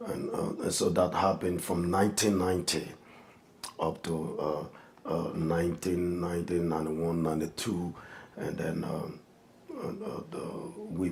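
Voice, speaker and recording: A man in his fifties, a pastor, telling his life story in a halting, earnest way with many restarts. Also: deep voice, gravelly, darkish tone, slight background noise. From Liberia. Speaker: pastor, guest. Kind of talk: personal testimony in an interview.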